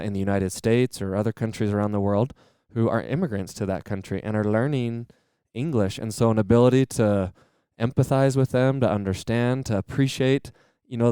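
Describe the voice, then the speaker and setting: A man, a training-center manager and podcast guest, explaining earnestly and enthusiastically in a steady conversational way. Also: on the low side, clear, resonant tone, dry recording, studio quality.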